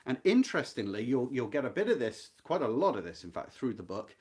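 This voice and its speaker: Man lecturing with lively, swooping intonation. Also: mid-range voice. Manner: emphatic, deliberate, repeats key points. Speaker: host of a book readalong, literary commentator. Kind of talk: literary lecture, explaining a novel to viewers.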